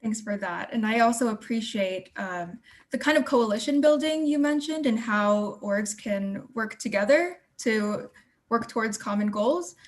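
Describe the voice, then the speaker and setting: A woman's voice: a little high, unhurried. A woman moderating a panel discussion, putting partly prepared questions to the speakers.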